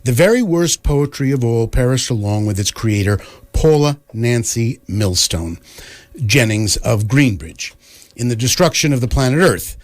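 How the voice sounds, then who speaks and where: A man's voice: medium pitch, expressive, normal speed, natural voice. A man playing a dry, mock-solemn narrator in a scripted radio play. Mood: dry, deadpan. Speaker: narrator and announcer.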